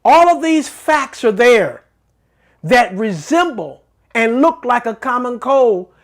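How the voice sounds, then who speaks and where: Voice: medium pitch, very animated, takes it slowly. A middle-aged host of a faith-based talk show, giving an earnest, preacherly teaching monologue that repeats phrases for emphasis.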